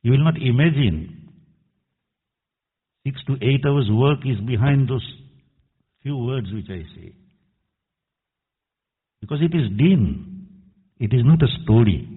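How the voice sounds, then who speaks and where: A man's voice: medium pitch, breathy edge. A man preaching an earnest, admonishing sermon as imam, quoting Arabic verses. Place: mosque hall. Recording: tinny echoey hall recording.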